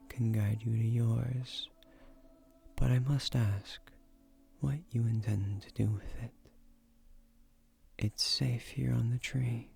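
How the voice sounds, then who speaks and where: Casting: male actor as millennia-old keeper of a magic tree, low gravelly voice, gentle, wry, formal, for fantasy audio roleplay. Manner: measured, gently formal, soft-spoken. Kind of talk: slow soothing fantasy audio roleplay, scripted.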